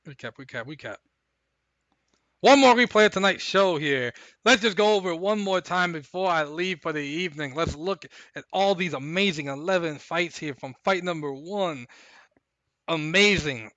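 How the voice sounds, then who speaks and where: A man hosting a live stream, chatting casually and recapping fights in a rambling, hyped way while his voice goes hoarse. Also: a little high, normal speed, a bit bright, dry recording, dull audio. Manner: rambling, hyped, repeats himself. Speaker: stream host.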